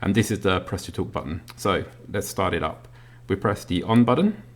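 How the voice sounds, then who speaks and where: A man's voice: low-pitched, moderate pace. A man giving a casual, unscripted product review in a hesitant, matter-of-fact way, indoors at a table. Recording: noisy home recording with clicks.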